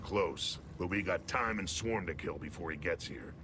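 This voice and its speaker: An actor playing a gruff, commanding older soldier who leads a squad in a video game. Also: male voice, deep voice, normal speed, noisy background, lightly acted. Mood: gruff, steady.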